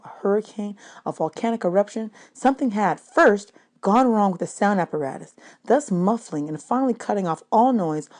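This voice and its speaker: A woman narrating an audiobook, reading literary prose aloud in an expressive, measured, dramatic way. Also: medium pitch. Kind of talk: dramatic story read-aloud.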